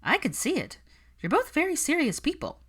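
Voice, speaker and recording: Woman as observant young witch, frank, mildly amused, animated pitch, storybook dialogue. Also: normal speed, full character voice.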